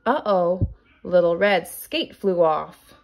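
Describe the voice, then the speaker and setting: Expressive woman reading a picture book, unhurried. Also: fairly low pitch, creaky. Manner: expressive, deliberate storytelling. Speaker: kindergarten teacher reading aloud. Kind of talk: children's picture book read-aloud.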